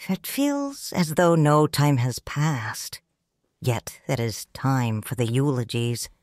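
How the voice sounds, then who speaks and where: A low-voiced woman narrates an audio drama as a proud, grieving noblewoman. Her delivery is slow and formal, with expressive swings in pitch, recorded very dry and close. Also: dry recording. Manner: measured, solemn, formal. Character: grieving, proud noble widow, mature and restrained. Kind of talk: scripted first-person audio drama narration.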